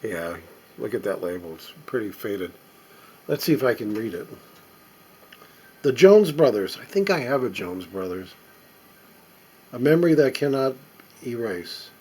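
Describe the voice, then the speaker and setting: A mature man talks casually through items in his collection, unscripted. His mid-pitched voice is a little husky and unclean in tone. He muses aloud in a halting way, as if inspecting each thing while he speaks. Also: mellow, rough recording. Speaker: record collector presenting finds. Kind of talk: casual unscripted show-and-tell commentary.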